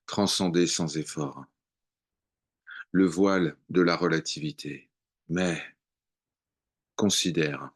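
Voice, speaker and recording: A man reciting devotional poetry in a measured, contemplative way, with long pauses between short phrases. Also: low-pitched. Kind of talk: slow devotional poem recitation.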